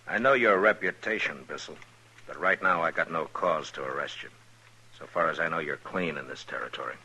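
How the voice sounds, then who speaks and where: A stern low-voiced marshal. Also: male voice, brisk, breathy edge, heavily acted, poor audio. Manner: terse, firm, calm. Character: stern steady male frontier marshal. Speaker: narrator and lead. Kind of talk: 1950s radio drama acting and narration.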